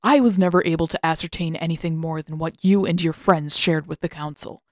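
A woman's voice: low voice, fairly even intonation, brisk, no room sound, natural voice. Woman acting out a cold old man, stern master, scornful, condescending, dry close storybook recording. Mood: cold, disapproving.

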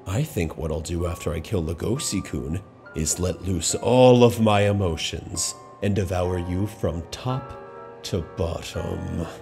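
A man playing a brooding, murderous bear student with slow, soft-spoken menace in a manga dub. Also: deep voice, vocal fry. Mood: quietly menacing. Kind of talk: manga voice-over acting. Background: music.

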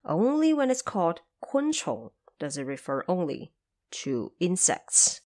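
A woman's voice: fairly low pitch, huge pitch swings, unhurried, mellow. A woman hosting and narrating a scripted explainer in a didactic, carefully enunciated manner. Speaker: host and translator.

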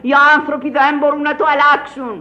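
A high-voiced, eccentric old countess who holds forth grandly and fearlessly. She is imperious and whimsical, in the theatrical style of classic radio drama. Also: little pitch movement, bright, forceful tone, dull audio. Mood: brisk, indignant.

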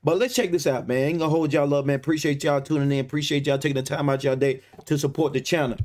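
A man in his thirties hosting a reaction video from his gaming room, somewhat hoarse from losing his voice, laid-back, tagging "man" onto his lines. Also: a little high, steady tone, fast, darkish tone, a little hiss or hum. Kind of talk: casual reaction commentary. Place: home gaming room indoors. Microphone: webcam framing, headphones on.

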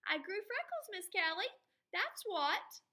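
A woman's voice: very high-pitched, big pitch swings, measured pace, bright, forceful tone, pitched above the performer's own voice, full character voice. A woman voicing an indignant, defiant young schoolboy in a storybook read-aloud. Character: defiant young schoolboy insisting he grew freckles.

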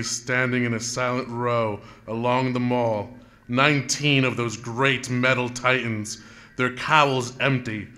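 An actor playing a weary male survivor of a Martian invasion, narrating solemnly and deliberately in a radio drama. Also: medium pitch, sharp tone. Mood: tense, awed. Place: planetarium dome theater, live performance.

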